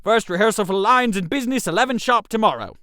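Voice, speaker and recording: A man acts out a bossy male playwright from a comic audio story. His voice is high and animated, with clipped, theatrical, decisive delivery in a dry recording. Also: fast talker, no echo. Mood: businesslike, exasperated. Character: bossy, brisk male playwright staging a scheme.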